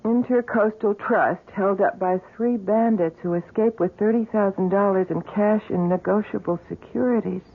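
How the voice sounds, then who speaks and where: A woman's voice: mid-range voice, normal speed, few pauses, close to an everyday voice. An actress playing a bubbly, curious young girlfriend who is easily unsettled, in an old-time radio drama. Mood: uneasy. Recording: muffled vintage radio broadcast, faint noise.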